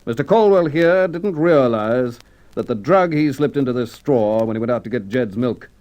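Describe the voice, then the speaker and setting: A man's voice: mid-range voice, lively intonation, a little mellow, close to an everyday voice. Police inspector played by a male actor: golden-age radio crime drama, medium voice, probing, in command. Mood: triumphant, stern. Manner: brisk, questioning, authoritative. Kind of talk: 1950s Australian radio crime drama acting.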